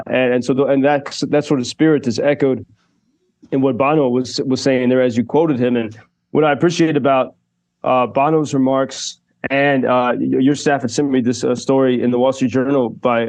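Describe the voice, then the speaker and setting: A man's voice: medium pitch, darkish tone. A man reporting live for a radio show, talking thoughtfully with many fillers and restarts. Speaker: radio correspondent. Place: Kyiv.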